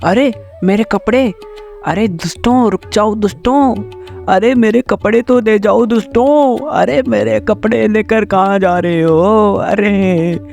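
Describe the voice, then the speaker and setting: A man's voice: high voice, slow, creaky, a little mellow, faint music behind, heavily acted. A man voicing a blustering, put-upon village man in a cartoon story, exclaiming and complaining dramatically. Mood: angry, frantic. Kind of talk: Hindi cartoon story voice acting.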